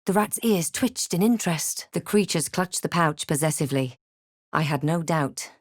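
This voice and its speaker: A wary female adventurer from a fantasy video game. Her voice sits on the low side, with a measured, theatrical delivery and a dry, snarky edge. The sound is close, with no room on it at all. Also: animated, no echo. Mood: solemn storytelling. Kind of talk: video game voice lines, scripted barks and narration.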